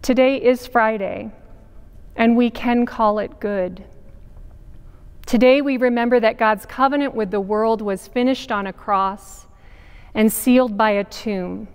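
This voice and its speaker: A woman pastor in her forties gives a sermon in a big sanctuary, her voice smooth and a little high. She speaks slowly and deliberately, leaning on pauses for weight. The sound carries a long church reverb. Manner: measured, deliberate preaching with emphatic pauses. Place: large church sanctuary with pipe organ. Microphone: camera at a distance, wide sanctuary shot.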